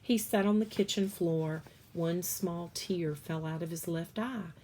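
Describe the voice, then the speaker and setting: Unhurried woman reading a storybook aloud. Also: on the low side, darkish tone, slight background noise, low-quality recording. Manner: unhurried, dramatic storytelling with pauses. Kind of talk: expressive read-aloud narration of a children's novel.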